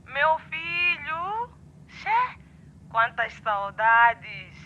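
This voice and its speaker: A doting, worried Mozambican mother in a radio play, heard over a muffled phone line. She speaks slowly in a high voice, fussing and exclaiming with great affection. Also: very animated, faint music behind, exaggerated voice. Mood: fond, concerned. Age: middle-aged. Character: doting, worried mother missing her grown son. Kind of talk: radio drama acting. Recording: muffled phone call with faint noise.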